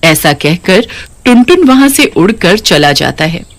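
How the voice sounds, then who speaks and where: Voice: medium pitch, sing-song, unhurried. A narrator reading a scripted cartoon story.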